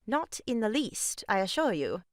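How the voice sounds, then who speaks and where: A woman's voice: mid-range voice, very animated, moderate pace, dry recording, close to an everyday voice. Actress as genteel married lady, frank, unflappable, farce style, swooping intonation, dry recording. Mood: politely unfazed. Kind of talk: stage farce audio drama acting.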